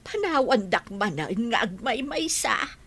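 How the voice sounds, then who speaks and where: High, bright, insistent woman acted. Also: sing-song, measured pace, husky, full character voice. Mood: pleading. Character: distressed, insistent woman complaining to police. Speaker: actor in a radio comedy drama. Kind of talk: Ilocano radio drama acting.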